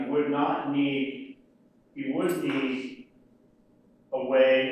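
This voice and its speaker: A man, a city official, explains a matter to a municipal board in a halting, step-by-step way with many fillers. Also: medium pitch, darkish tone. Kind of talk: meeting report. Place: municipal meeting room. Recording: very echoey room, distant mic, faint hiss.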